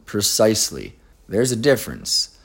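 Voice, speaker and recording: A man co-hosting a casual podcast, his voice raspy and hoarse, speaking in an earnest, measured way. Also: fairly low pitch, wildly swooping pitch, a bit bright. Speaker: podcast co-host. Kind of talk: casual chat.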